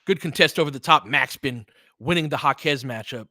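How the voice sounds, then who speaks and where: Male fan commentator for basketball livestream: bright, forward voice at an average pitch, dry close sound, informal and opinionated. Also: a little fast, no room sound. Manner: informal and opinionated, clipped phrases during play, talking back to listeners. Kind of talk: casual fan play-by-play commentary mixed with chat.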